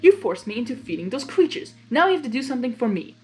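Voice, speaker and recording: A woman's voice: a little high, big pitch swings, quick pace, a little mellow, soft background music, pitched above the performer's own voice. A woman voicing a smug, blustering male creature of chaos in a theatrical, commanding way for a story reading. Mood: smug, coaxing. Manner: pompous, commanding, exclaiming.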